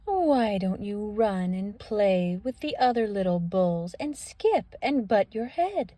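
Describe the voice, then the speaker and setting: A woman's voice: medium pitch, sing-song, takes it slowly, warm tone. A woman voicing a caring, worried mother cow from a children's storybook, in a coaxing, motherly way. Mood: coaxing, concerned. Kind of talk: children's storybook read-aloud.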